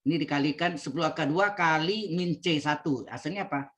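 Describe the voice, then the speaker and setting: A man's voice: a little high, fairly quick, fairly bright tone. Man lecturing math over a video call. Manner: checks in with students, repeats steps. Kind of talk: online calculus lecture. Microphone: computer mic on video call.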